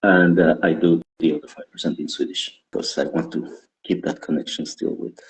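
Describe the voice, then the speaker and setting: A man's voice: rumbling low, steady tone, fairly quick, low-quality recording. A man from Guatemala, a stand-up comedian, chatting casually and self-deprecatingly as an interview guest. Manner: rambling, self-deprecating, laughs often.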